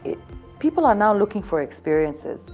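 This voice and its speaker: A woman's voice: medium pitch, very animated, normal speed, darkish tone, soft background music. A woman in her thirties from Zambia, speaking as a hotel events representative. She gives upbeat, promotional interview answers and keeps circling back to one theme.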